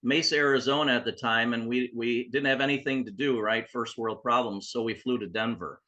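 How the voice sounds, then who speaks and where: A middle-aged man with a bright, forward voice, answering interview questions as a guest author. He spins long, loose anecdotes full of asides. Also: mid-range voice, fairly quick, muffled recording. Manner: chatty storyteller, rambling asides.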